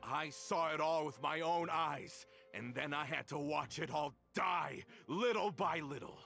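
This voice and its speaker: An actor playing a bitter, vengeful middle-aged man in a game cutscene, accusing and resentful and rising to shouts, with a gravelly voice. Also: a little high, measured pace, soft background music, exaggerated voice. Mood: accusing, furious. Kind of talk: dubbed game voice acting.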